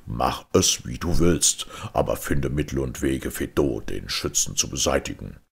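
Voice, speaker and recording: A man playing a stern, overbearing fairy-tale king with a deep, gravelly voice and a commanding, menacing manner. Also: expressive, measured pace, exaggerated voice. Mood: angry, threatening.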